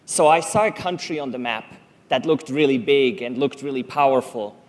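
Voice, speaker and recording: A middle-aged man from Switzerland, a native Swiss German speaker, giving a prepared public talk on a conference stage, telling stories and asking rhetorical questions. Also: medium pitch. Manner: storytelling, measured, rhetorical questions.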